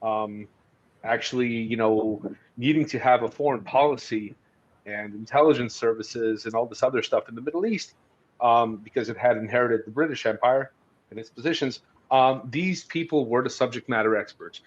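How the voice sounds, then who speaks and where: Halting man on a dull lo-fi recording. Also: mid-range voice. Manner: halting, hesitant, thinking aloud. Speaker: podcast host discussing books. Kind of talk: conversational history discussion. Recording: dull, lo-fi audio.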